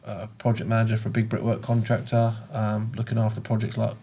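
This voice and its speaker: Chatty low-voiced British man. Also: monotone, quick pace, mellow, a little hiss or hum. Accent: British English. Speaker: guest, project manager. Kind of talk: casual podcast chat.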